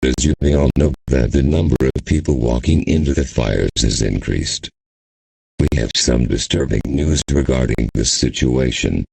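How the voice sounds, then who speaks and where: A man's voice: rumbling low, moderate pace, vocal fry, warm tone, slightly rough, no room sound, heavily acted, rough recording. A man playing a grave, ominous government announcer, reading a formal scripted emergency bulletin.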